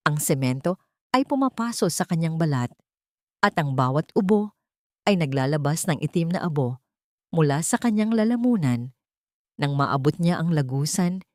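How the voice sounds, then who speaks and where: A woman's voice: fairly low pitch, a little mellow, no room sound, studio quality. A woman with a Filipino accent reading scripted, dramatic narration for a romance drama story.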